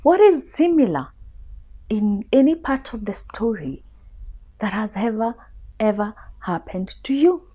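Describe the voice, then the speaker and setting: A woman reading a story aloud for children in an expressive, deliberate, teacherly way. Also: on the high side, highs cut off.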